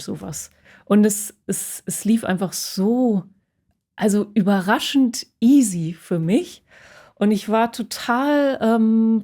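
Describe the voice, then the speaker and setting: A woman's voice: mid-range voice, warm tone. A woman musician talking casually about her own experiences in an interview, reflective and searching for her words. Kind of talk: casual personal interview talk.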